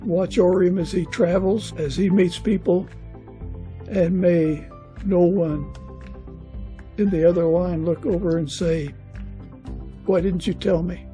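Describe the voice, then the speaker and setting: High-pitched, slow-talking man, mellow and earnest. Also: fairly even intonation, soft background music. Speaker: podcast host. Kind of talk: spoken prayer closing an interview.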